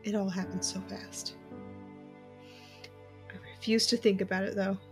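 A woman's voice: medium pitch, flat delivery, a little slow, soft background music, natural voice. A woman playing a guarded young intelligence agent who narrates a space opera audio drama in the first person. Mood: somber, reflective.

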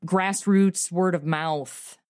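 A woman giving a casual interview in an informal, chatty way. Her pitch is on the low side and the sound is close and dry. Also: steady tone, slow pace, a little mellow, clean tone, dry recording. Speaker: interview guest, audio comedy creator.

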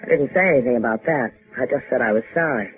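A woman's voice: extremely low pitch, quick pace. An actress playing a cool, tough, sardonic female sidekick in a 1950s radio adventure. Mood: cool, dry refusal. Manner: dry, sardonic. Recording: tinny band-limited 1950s radio recording with music.

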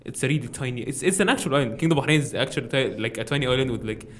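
Fast-talking teenage boy. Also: mid-range voice. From Egypt. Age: teens. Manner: fast, animated, laughs often. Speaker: podcast co-host. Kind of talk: casual chat.

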